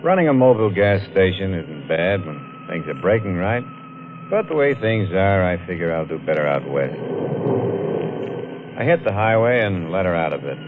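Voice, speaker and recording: A man's voice: low-pitched, background noise. An actor playing an edgy working-class man in his twenties in a 1950s noir radio drama, colloquial and plain-spoken. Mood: brooding. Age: 20s. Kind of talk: 1950s radio drama acting, first-person noir narration. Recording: muffled old radio recording, music behind.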